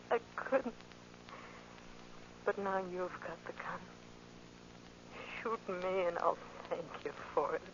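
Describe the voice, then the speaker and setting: An actress playing a desperate, vengeful woman in a 1940s radio drama, with a halting, intense, melodramatic delivery. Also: on the low side, background noise. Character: desperate, vengeful woman luring a man to die. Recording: muffled old radio recording with music.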